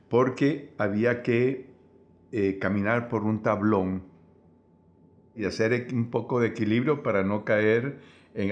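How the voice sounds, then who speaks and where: A man recollecting in an unscripted interview, halting as he searches for words. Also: fairly low pitch, lo-fi sound. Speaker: interviewee, former guerrilla radio member.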